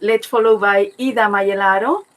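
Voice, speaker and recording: A woman's voice: mid-range voice, steady tone, moderate pace. A woman with as a moderating an online meeting over a video call, with many fillers and restarts.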